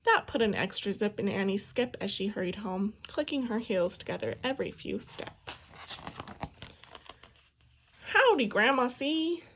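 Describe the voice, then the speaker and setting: A woman reading a children's picture book aloud in an expressive storytelling style. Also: medium pitch, normal speed, few pauses, slight background noise. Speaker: storybook reader.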